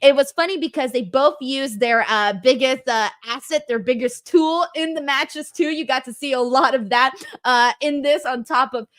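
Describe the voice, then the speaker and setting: A woman's voice: high voice, normal speed, hardly any pauses. Upbeat woman hosting a wrestling recap. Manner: enthusiastic, expressive recap. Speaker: host. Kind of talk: casual wrestling review chat.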